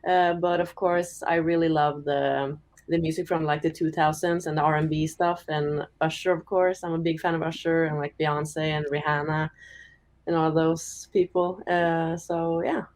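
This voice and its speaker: A young woman from Norway with a deep voice, chatting casually as a singer-songwriter in an interview, full of "like" fillers. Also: normal speed. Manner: relaxed, chatty, many "like" fillers, giggles often.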